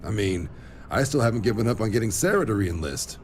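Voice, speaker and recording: An actor playing an easygoing, wry military recruiter officer in a video game, talking in a casual, colloquial way. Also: male voice, on the low side, sing-song, fairly quick, slight background noise. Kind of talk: video game cutscene acting.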